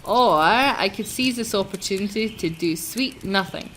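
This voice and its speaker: A woman voicing a wistful, lonely young servant heroine in a fairy-tale game, with a musing, expressive delivery. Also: medium pitch, normal speed, close to an everyday voice. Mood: light, hopeful. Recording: noisy, game birdsong behind the voice.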